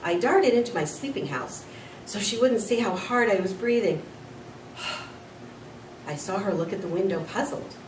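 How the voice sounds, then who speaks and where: A woman reads a children's story aloud with a lively, varied pitch. She is expressive and a little informal. The recording is roomy, slightly off the mic. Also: measured pace, long pauses. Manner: expressive, with small slips and corrections. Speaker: school nurse reading to children. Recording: roomy, distant mic with faint noise.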